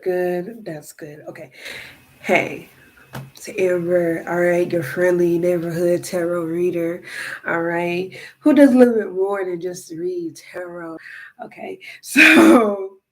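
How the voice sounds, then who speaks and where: A woman's voice: fairly low pitch, fairly even intonation, takes it slowly, low-quality recording. Chatty congested tarot reader, a woman talking casually to the viewer and checking in constantly. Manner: chatty, keeps asking "all right?" and "okay?". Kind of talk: casual tarot reading to camera.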